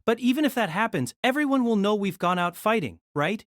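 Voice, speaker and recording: A woman playing a gentle, honest teenage boy in love, in an audio drama. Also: medium pitch, lively intonation, fairly quick, dry recording, clean studio sound, lightly acted. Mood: earnest.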